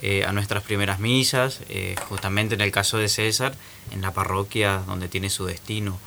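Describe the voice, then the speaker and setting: A man's voice: fairly low pitch, fairly even intonation, normal speed, creaky, pushed and bright, slight background noise. A man, a newly ordained priest from Argentina, laying out details in an orderly, informative way during a radio interview.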